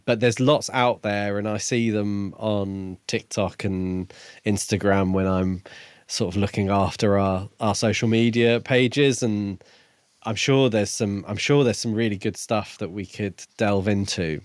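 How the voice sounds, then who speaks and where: Guy with a lowish voice, podcast co-host, casual book chat, kinda tentative, close dry sound. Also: clear, resonant tone, dry recording.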